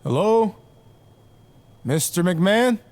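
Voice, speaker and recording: An actor playing a cocky young pro wrestler in a video game, talking in an offhand, mildly sarcastic way. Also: male voice, high-pitched, lively intonation, slow pace, darkish tone, heavily acted. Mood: puzzled, annoyed. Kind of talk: video game cutscene acting.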